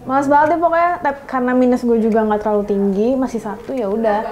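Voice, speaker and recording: A woman's voice: a little high, quick pace, darkish tone. A young woman, speaking in a laid-back, offhand way. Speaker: employee interviewee. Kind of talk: casual interview answers.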